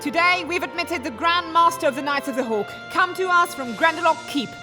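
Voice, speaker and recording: Actress as small female fantasy ambassador. High voice, ceremonial and measured delivery, music underneath. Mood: formal. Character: formal small-statured female ambassador addressing a council. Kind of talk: fantasy audio drama acting.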